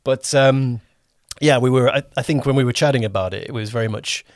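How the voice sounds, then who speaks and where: A man's voice: medium pitch, a little fast, no echo, studio quality. A man hosting a podcast, chatting casually as an interviewer and often rephrasing as he goes. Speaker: podcast host and producer.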